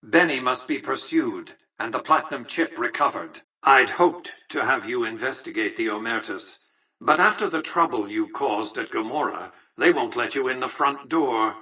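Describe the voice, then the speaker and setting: An actor playing a calculating, imperious casino tycoon in a video game, speaking formally and precisely with condescension. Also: male voice, mid-range voice, expressive, normal speed, sharp tone, husky, recorded from a distance, poor audio. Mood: calm, calculating. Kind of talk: scripted video game dialogue.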